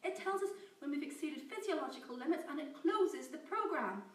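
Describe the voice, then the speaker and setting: A woman's voice: fairly high pitch, fairly quick, pitched above the performer's own voice, close to an everyday voice. A woman voicing a terrified captive woman scientist who pleads and wails in panic. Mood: frantic. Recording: roomy, distant, faint background hiss.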